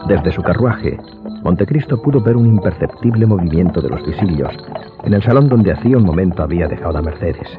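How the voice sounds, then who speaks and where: A man narrates a scripted radio drama in a deep, low voice. Music plays clearly underneath. The recording is dull and phone-like. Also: animated, brisk. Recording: muffled phone-like audio, music bed.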